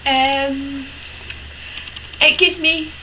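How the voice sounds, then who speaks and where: A woman's voice: sits high, fairly even intonation, very slow. A young woman in her teens talking casually to camera, frank and chatty, with lots of fillers and restarts. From United Kingdom. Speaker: vlogger. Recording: dull lo-fi sound with clear background hiss.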